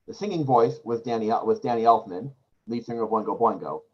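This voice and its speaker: A man's voice: fairly low pitch, fast talker, husky. A man chatting casually in a group, offering bits of trivia. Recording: slightly muffled call audio.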